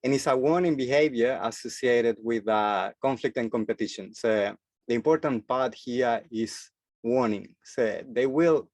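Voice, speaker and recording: A man's voice: mid-range voice, a little slow, a little mellow, no room sound. A man from Chile, giving a presentation as a freediving instructor and speaking hesitantly with many filler sounds. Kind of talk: forum presentation talk.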